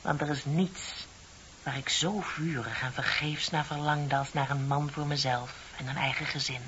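An actress playing a bitter, composed office clerk in her thirties in a radio play, speaking in a deliberate, pointed, theatrical way. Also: sits low, steady tone, fairly bright tone. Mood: wistful, bitter. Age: middle-aged. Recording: dull, hissy old radio play recording.

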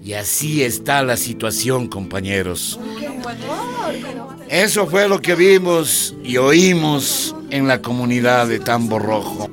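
A mid-pitched male village schoolteacher in a radio drama, with very lively intonation. He speaks as an earnest community spokesman, formal, principled and persistent. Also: music in the background. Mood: solemn. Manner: formal, persistent, measured argument.

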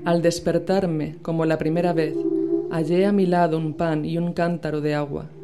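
A woman from Spain reading a literary horror story aloud in a measured, dramatic storytelling manner. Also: low-pitched, level tone. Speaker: narrator. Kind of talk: scripted literary narration. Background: loud music with a singing bowl.

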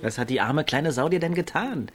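A man's voice: on the high side, animated, brisk, natural voice. A man playing a restless, self-doubting teenage village boy in a naturalistic German radio drama. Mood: sly, amused.

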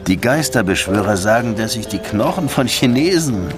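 An actor playing a world-weary Cuban police detective in a radio drama, dry and brooding in dialogue and narration. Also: male voice, on the low side, expressive, moderate pace, over music, close to an everyday voice. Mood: grave. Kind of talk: radio drama acting and first-person narration.

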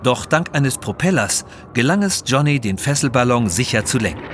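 A man's voice: medium pitch, big pitch swings, normal speed, noisy background. A man reading scripted narration for an adventure audio story.

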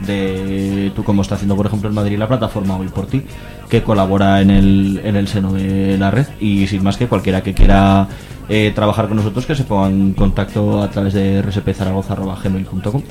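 A man's voice: sits low, level tone, brisk, dark tone, soft background music. A man with a Spanish accent from Spain, explaining things plainly and a little hesitantly as a radio guest. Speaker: guest, activist with a solidarity network. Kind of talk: casual radio interview talk.

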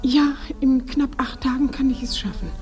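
Creaky-voiced, bashful bride-to-be. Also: female voice, sits high, fairly even intonation, normal speed, warm tone, faint music behind. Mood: flustered, bashful. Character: flustered, bashful bride-to-be, naive and devoted. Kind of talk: German radio drama acting.

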